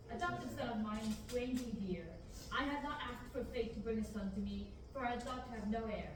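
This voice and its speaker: A young adult woman reading a mock-Shakespearean script aloud as an amateur at a table reading. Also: a little high. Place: large indoor rehearsal room, far camera. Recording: distant camera in echoey noisy room.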